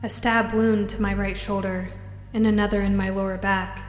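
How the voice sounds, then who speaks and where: A woman's voice: medium pitch, level tone, moderate pace, close to an everyday voice. Actress as an anguished woman in echoing reverb. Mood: haunted, halting. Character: distressed young woman trapped in a sailor's nightmare. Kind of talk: audio drama acting. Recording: echoey reverberant hall with music behind.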